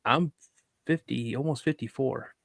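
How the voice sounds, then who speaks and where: A man's voice: medium pitch, unhurried, vocal fry, fairly bright tone, dry recording, studio quality. A man in his fifties hosting a podcast, easygoing and chatty with short friendly questions. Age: 50s. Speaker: podcast host. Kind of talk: casual interview chat.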